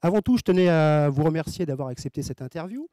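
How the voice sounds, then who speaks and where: A man's voice: a little high, animated, quick pace, dark tone, soft background music. A man interviewing two circus performers, asking questions politely and with some hesitation.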